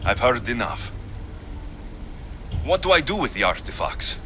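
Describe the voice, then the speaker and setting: A man's voice: mid-range voice, big pitch swings, a little slow, sharp tone, slight background noise. A man playing a defiant young warrior searching for answers in a video game, speaking bluntly and intensely. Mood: questioning, firm. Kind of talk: video game cutscene acting.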